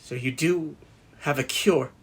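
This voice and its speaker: A husky, anxious young male patient. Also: fairly high pitch, a little slow. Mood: wary, yearning. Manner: hesitant, stammering. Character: anxious, desperate young male patient, timid and self-hating. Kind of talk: horror audio play acting.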